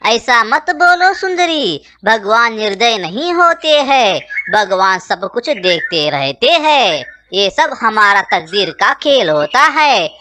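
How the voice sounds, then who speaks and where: A woman's voice: on the high side, sing-song, slow pace, few pauses, bright, forceful tone, a little hiss or hum, exaggerated voice. A woman voicing a meek, pious male crow in a children's cartoon, pleading and earnest. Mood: worried, pious. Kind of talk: children's cartoon voice acting in Hindi.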